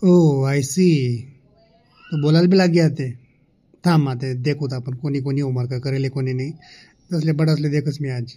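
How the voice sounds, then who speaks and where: A man's voice: medium pitch, steady tone, moderate pace, dark tone, natural voice. A man playing a stern, sarcastic village schoolmaster in a comedy cartoon, with a Khandeshi Ahirani accent. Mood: sarcastic scolding. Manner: sarcastic, scolding, bossy.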